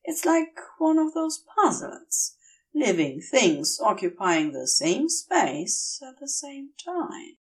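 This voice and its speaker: Slow, very expressive woman storyteller. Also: sits high, far from the mic. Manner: careful, measured storytelling. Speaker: fan fiction audiobook reader. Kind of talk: expressive scripted story narration.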